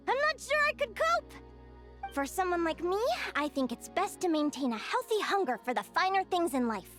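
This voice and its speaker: A very high, swooping young woman's voice plays a cheerful adventurer in a video game. She is curious and bubbly, earnest about the natural world, and expressive in every phrase. Soft music plays behind her. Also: heavily acted. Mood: hesitant, worried. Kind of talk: animated video game character acting.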